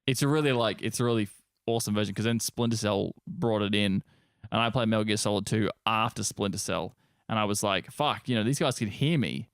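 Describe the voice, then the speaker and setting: A man's voice: lowish voice, brisk, smooth, no room sound. Blunt Australian man in dry-sounding podcast banter. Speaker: podcast co-host. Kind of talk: casual gaming chat.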